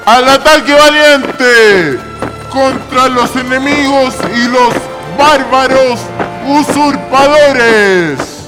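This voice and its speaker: An actor playing a bold military leader giving orders in a historical radio drama, dramatic and commanding. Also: male voice, very high-pitched, very slow, exaggerated voice. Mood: battle cry. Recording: loud music behind.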